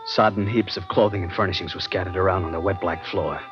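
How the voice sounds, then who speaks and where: Man acting deep-voiced uneasy sea traveler, brooding suspense narrator, old radio drama, dull recording, music behind. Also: fairly even intonation, quick pace, lightly acted. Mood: tense, hushed. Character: uneasy seagoing man narrating his growing dread.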